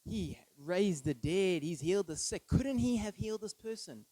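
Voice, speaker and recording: A man of thirty preaches in a conversational, anecdotal way. His voice sits slightly high for a man and is captured very dry, with no room sound. He keeps it informal and regularly turns to listeners with brief tag questions. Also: lively intonation, moderate pace, warm tone, no room sound, low-quality recording. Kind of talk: conversational sermon with personal anecdotes.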